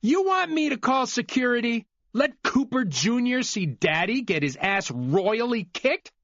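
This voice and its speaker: A man's voice: a little high, huge pitch swings, a little slow, no echo, dull audio, voice pushed up, full character voice. A man doing a sneering, belligerent rich man. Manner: sneering and grumbling, then shouting.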